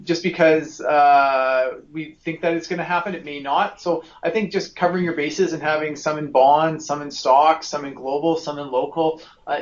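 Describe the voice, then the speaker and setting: A man hosting an informal client conference call as a financial advisor, polite and accommodating, often hedging as he goes. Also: a little high. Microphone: conference call line. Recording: slightly muffled call with faint noise.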